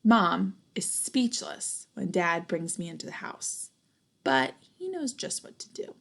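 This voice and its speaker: A young woman reads a storybook to children at an unhurried pace, with noticeable vocal fry. Her delivery is expressive and deliberate, and she adds little comments and questions for the kids. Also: on the low side. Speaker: storybook reader, teacher. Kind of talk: children's picture book read-aloud.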